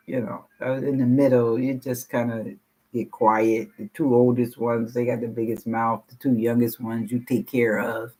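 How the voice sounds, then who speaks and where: A woman in her sixties with a deep, low voice, giving a rambling, frank personal share at a support meeting and swearing casually. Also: darkish tone, poor audio. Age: 60s. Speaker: meeting participant sharing.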